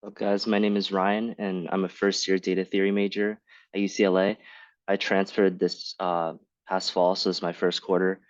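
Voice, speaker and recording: A man's voice: low voice, level tone, normal speed, no room sound, highs cut off. A young man casually introducing himself on a student panel. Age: young adult. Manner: casual, laid-back.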